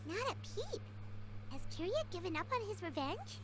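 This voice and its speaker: Squeaky little fairy girl. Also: big pitch swings, normal speed, over loud music. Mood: puzzled. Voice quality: squeaky, childlike. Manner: exclamatory, inquisitive. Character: tiny chirpy floating fairy companion, childlike girl. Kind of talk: video game voice acting. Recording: noisy game audio capture.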